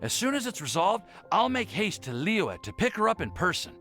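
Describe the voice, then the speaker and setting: An earnest, anxious young man, high-voiced. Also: very animated, normal speed, pushed and bright, faint music behind, heavily acted. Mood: earnest, flustered. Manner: formal, hesitant, sincere. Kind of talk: video game voice acting.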